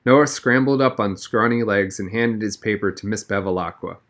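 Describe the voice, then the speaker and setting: A man's voice: on the low side, normal speed, a bit bright. A man reading a children's novel aloud as a first-person audiobook narrator.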